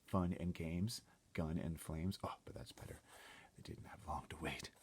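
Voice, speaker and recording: A man's voice: low-pitched, unhurried, a little mellow, slight background noise. A man reading a children's story aloud as dramatic narration, theatrical and suspenseful, often dropping to a whisper. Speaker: storybook reader.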